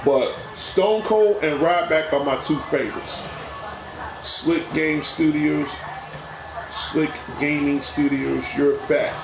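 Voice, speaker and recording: A man's voice: a little high, slow, over music. A man hosting a live game stream, rambling and repeating himself as he talks to viewers. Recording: echoey room with clear background noise.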